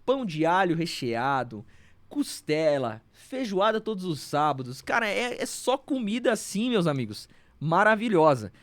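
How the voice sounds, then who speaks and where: A man's voice: high voice, sing-song, moderate pace, no room sound. A man hosting a Brazilian business podcast, reading sponsor ads and chatting with a guest in an enthusiastic, friendly manner. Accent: Brazilian Portuguese. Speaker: podcast host. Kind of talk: sponsor reads and interview hosting.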